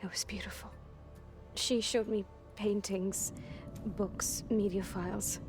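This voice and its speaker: A woman voicing a guarded, hurt young woman in a video game, speaking in hushed, halting phrases that turn defensive and raw. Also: medium pitch, level tone. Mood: wistful. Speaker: game character. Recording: game cutscene with music behind.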